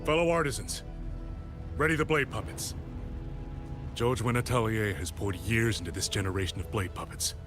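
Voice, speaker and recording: An actor playing a proud, zealous military artisan commander in a video game, formal, declamatory and self-assured. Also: male voice, lowish voice, moderate pace, long pauses, close to an everyday voice. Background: game music.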